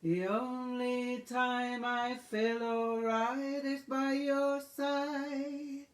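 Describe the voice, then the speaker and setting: A woman voicing a playful, teasing young foreign woman who is curious and speaks in deliberate, lilting phrases. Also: high-pitched, fairly even intonation, echoey room, distant mic, voice pushed up. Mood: playful, singing out.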